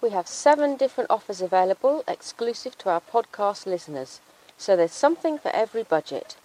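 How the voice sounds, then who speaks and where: Female announcer for a subscription promo, medium pitch, scripted read. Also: sing-song, brisk, dark tone, poor audio. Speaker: advert announcer.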